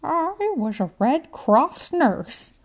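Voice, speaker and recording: A woman voicing a gentle, confused old grandmother who speaks slowly, with a faint foreign lilt creeping into her words. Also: high voice, very animated, voice pushed up, exaggerated voice. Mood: reminiscing. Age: older. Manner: slow, dreamy, drifting speech with a faint foreign lilt. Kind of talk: storybook character voice.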